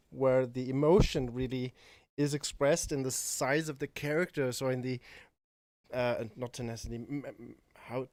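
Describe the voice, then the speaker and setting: A man's voice: mid-range voice, a little mellow, dry recording. Guy on a stream panel, dry close sound, chatting casually, stops and starts hunting for the right word. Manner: halting, searching for words. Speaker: livestream panellist. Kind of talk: casual chat.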